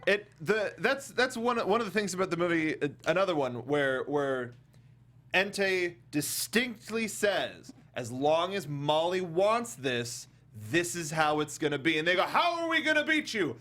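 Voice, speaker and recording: A man hosting a casual film discussion, talking in an animated way and acting out mock quotes as he goes. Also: fairly high pitch, measured pace. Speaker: talk show host.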